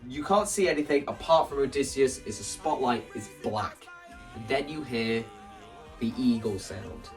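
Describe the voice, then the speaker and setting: A man explaining his staging ideas to camera in a casual, animated way, like a video creator walking viewers through a plan. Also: mid-range voice, moderate pace. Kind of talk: casual spoken walkthrough to camera. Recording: voice distant from the mic, music underneath.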